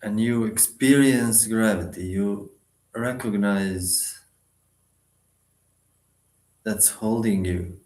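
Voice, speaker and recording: A man leading a guided meditation, giving instructions in short, sparse phrases with long pauses between them. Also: fairly low pitch, a little mellow, reverberant.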